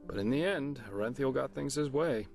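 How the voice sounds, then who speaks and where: An actor playing a chatty, wry, easygoing adventurer in a fantasy game, explaining things to a newcomer in a casual, jokey manner. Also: male voice, mid-range voice, animated, brisk, gravelly, a little mellow, faint music behind. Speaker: travelling companion and guide character. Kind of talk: scripted fantasy game dialogue.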